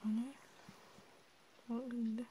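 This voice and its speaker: A woman voicing a cautious vampire healer in a hushed, intimate, concerned whisper for an ASMR role play. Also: a little high, little pitch movement, a little slow, slight background noise, close to an everyday voice. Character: cautious female vampire healer tending a hunted friend.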